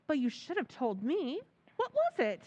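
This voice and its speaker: An actress playing a gentle small-town wife who fondly admires her husband, in an old-time radio drama. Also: high-pitched, huge pitch swings, normal speed.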